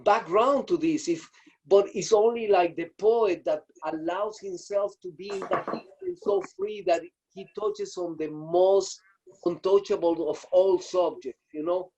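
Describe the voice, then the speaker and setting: A man speaking up on a video call, halting and searching, with constant fillers as he gropes for ideas. His voice is fairly high for a man and mellow in tone. The call audio sounds dull and lo-fi. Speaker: audience participant. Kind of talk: spontaneous reflective remarks.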